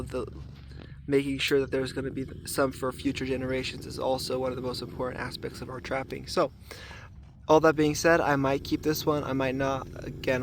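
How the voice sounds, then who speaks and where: A man with a raspy voice today, talking casually and thoughtfully to a camera while checking traps outdoors, with filler ums and restarts. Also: medium pitch, background noise. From southern Arizona. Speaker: trapper filming his trapline. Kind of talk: casual outdoor vlog narration. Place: outdoors in desert washes.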